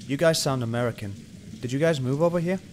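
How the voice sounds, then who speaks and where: A man's voice: mid-range voice, fast talker, darkish tone, slight background noise, lightly acted. A man playing a driven, hot-tempered police detective in an earnest, dramatic amateur radio play. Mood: sympathetic, calm.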